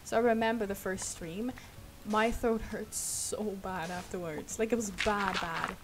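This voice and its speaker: A young woman with a Swedish accent chats in a soft, rambling way as she streams a game. Her throat is sore, and loud noise runs under the recording. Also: mid-range voice, fairly even intonation, unhurried. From Sweden. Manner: rambling, soft, laughs often. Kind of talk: casual chat while gaming.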